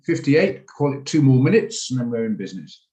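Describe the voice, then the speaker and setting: A man's voice: mid-range voice, sing-song, a little fast, warm tone. A man hosting a live online trading session over a video call, greeting people by name and talking in a rambling, self-deprecating way with lots of fillers. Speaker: trading room host.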